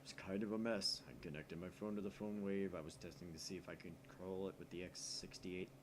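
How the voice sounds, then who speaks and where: A man's voice: sits low, fairly even intonation, fairly quick, pitched below the performer's own voice, natural voice. A man voicing a laid-back young computer geek sidekick in a visual novel, casual and matter-of-fact, with some background noise.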